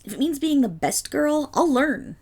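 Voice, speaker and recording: A woman playing an excitable, affectionate, dim-witted young dog girl in a roleplay audio drama, bubbly, naive and eager. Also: sits high, normal speed. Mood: cheerful, eager.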